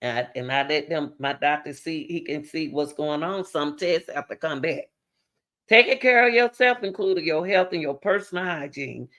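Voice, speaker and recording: A woman's voice: deep voice, normal speed, fairly bright tone. Preachy middle-aged woman vlogger. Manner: preachy, repetitive, direct address to viewers. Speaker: channel host. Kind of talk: motivational talk to camera.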